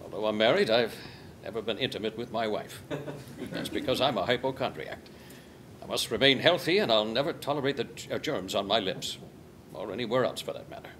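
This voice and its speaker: A man playing a boastful, squeaky-clean hypochondriac astronaut at a comedy table read, earnest and stumbling. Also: a little fast, fairly bright tone, close to an everyday voice. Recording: clearly noisy table read recording.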